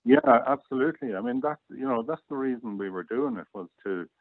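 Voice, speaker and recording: A man's voice: mid-range voice, animated, brisk, no room sound. A middle-aged Irish man chatting casually as an interview guest, reminiscing over a call. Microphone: online call or phone line. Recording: muffled phone-like, dry online call.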